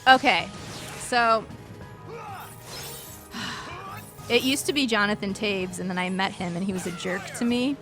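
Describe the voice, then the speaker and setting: A woman's voice: mid-range voice, measured pace, pauses often. Female game-stream host, Chicago accent, mid-range voice, informal and self-effacing, music playing behind. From northern United States. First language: English. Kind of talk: casual chat during a video game stream. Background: video game music.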